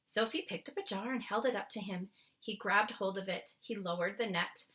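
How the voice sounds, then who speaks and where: A woman reading a children's book aloud as narrator, with dramatic, expressive phrasing. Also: mid-range voice, moderate pace. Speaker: storybook reader.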